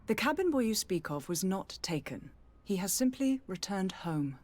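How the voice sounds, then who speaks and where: A woman's voice: medium pitch, big pitch swings, moderate pace, darkish tone. A woman playing a haughty, guarded noble lady in a period fantasy video game, formal and easily indignant. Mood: defensive, indignant.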